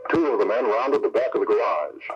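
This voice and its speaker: A man's voice: fairly low pitch, lively intonation, brisk, faint music behind, natural voice. A man narrating an old radio crime serial in a formal, scripted style. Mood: dramatic, formal. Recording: muffled old radio recording.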